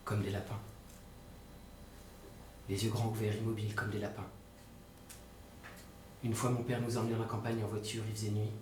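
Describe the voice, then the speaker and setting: A man's voice: extremely high pitch, rapid-fire. An actor playing an anxious, short-tempered young man, blunt and insistent, in naturalistic drama. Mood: shaken, hushed. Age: young adult. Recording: echoey, noisy room, distant mic.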